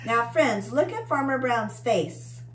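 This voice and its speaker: American woman, children's storyteller, lively swooping pitch, simple explanations, faint background noise. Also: slow, rough recording. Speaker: children's story time host. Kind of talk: reading a picture book aloud, chatting with a puppet. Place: small indoor room with bookshelves.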